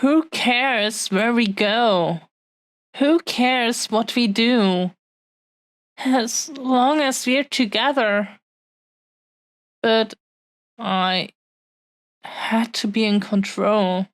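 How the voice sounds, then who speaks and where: A woman playing a possessive, adoring dragon-blooded fiancée in an intimate audio roleplay, speaking in earnest, halting, heartfelt phrases. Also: fairly high pitch, no room sound. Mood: remorseful.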